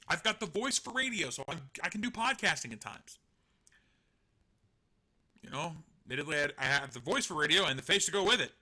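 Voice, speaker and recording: A man's voice: fairly high pitch, animated, fairly quick, pauses often, sharp tone. A man hosting a live stream, chatting casually and rambling, joking at his own expense, laughing and swearing now and then. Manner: rambling, self-deprecating, laughs often, swears. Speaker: online show host.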